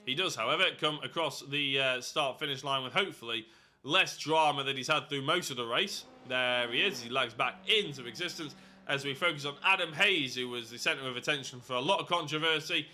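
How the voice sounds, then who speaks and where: A man's voice: mid-range voice, brisk, pushed and bright. A young man giving live sim racing commentary, congested and nasal from hay fever, chatty and often correcting himself. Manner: chatty, self-correcting, apologetic asides.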